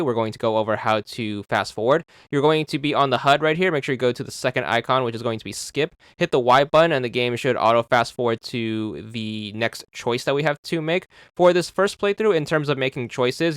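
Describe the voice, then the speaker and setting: A man's voice: medium pitch, a bit bright, smooth, no echo. A man narrating a game achievement walkthrough, methodically repeating step-by-step instructions into a close microphone. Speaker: achievement guide host.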